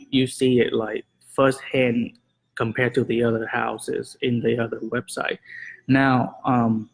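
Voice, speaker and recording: Husky-voiced man giving casual tips. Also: mid-range voice, rough recording. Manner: relaxed, many fillers, slightly nonstandard grammar. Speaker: real estate agent and show host. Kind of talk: casual advice talk to camera.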